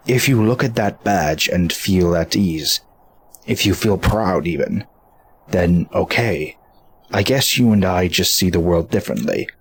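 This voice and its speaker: A man playing an earnest, principled robot hero in a fan dub, speaking in a grave, measured, deliberate way. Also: low-pitched, husky, exaggerated voice. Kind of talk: scripted fan dub acting. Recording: faint background noise and ambience.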